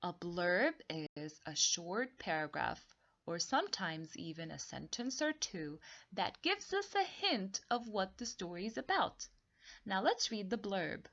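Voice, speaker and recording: A woman teacher leading a read-along story lesson for young children, explaining things slowly and deliberately with repetition. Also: mid-range voice, very animated, fairly bright tone, muffled recording. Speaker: teacher reading aloud.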